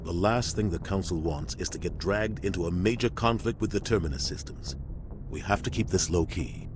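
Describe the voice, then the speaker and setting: An actor playing a commanding middle-aged starship captain in a video game, with a measured, authoritative military delivery. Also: male voice, sits low, noisy background, natural voice. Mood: earnest, explaining. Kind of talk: video game dialogue acting.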